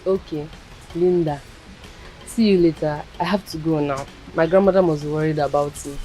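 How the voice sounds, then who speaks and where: An actress with a Nigerian accent playing a devoted teenage granddaughter who speaks earnestly and affectionately in a drama skit. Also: female voice, low-pitched, steady tone, moderate pace, warm tone, faint music behind, natural voice, low-quality recording. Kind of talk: Nollywood-style drama skit.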